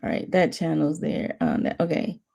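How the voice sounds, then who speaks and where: A woman hosting a watch party in casual chat, rambling and restarting, her voice mostly monotone but jumping high at times. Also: normal speed, creaky. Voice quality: says her voice runs monotone then jumps high. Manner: rambling, restarting often, laughing between thoughts. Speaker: host of a watch party stream.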